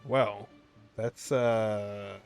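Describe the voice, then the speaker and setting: A man's voice: fairly low pitch, fairly even intonation, very slow, darkish tone. A man giving casual, slangy, offhand commentary as he plays a video game. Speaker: gamer, video creator. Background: game music.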